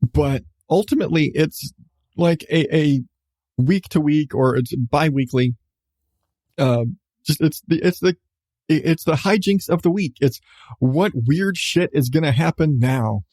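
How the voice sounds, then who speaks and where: A man hosting a podcast, giving a casual, rambling review with halting starts, repeated phrases and an irreverent, sweary manner. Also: a little high, darkish tone, no room sound.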